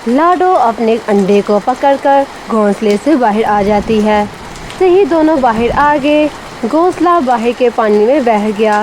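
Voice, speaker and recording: A woman's voice: fairly high pitch, animated, measured pace, a little mellow, poor audio. A woman narrating a scripted children's story. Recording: faint rain and background noise.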